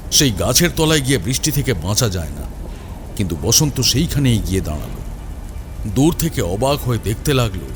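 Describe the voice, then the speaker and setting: A man's voice: mid-range voice, background noise. A man narrating a scripted horror story in a dramatic style. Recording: rain and ambient effects behind.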